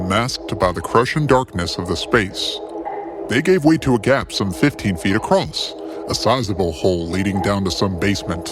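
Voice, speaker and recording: A man narrating a scripted story in a measured, deliberate, dramatic way. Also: on the low side.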